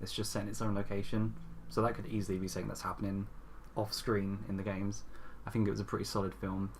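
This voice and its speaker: A man's voice: on the low side, flat delivery, fast, a little hiss or hum. A man co-hosting a podcast, chatting casually and bluntly about his opinions on films in an informal, sweary way. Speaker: podcast host presenting a top five list. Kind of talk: casual film chat.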